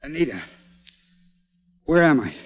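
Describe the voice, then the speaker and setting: A man's voice: a little high, sing-song, unhurried, muffled recording. An actor playing a harried, stubborn man caught in a nightmare, in dramatic old-time radio suspense style. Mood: groggy. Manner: exasperated, dramatic. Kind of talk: 1940s radio suspense acting. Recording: vintage radio drama with music.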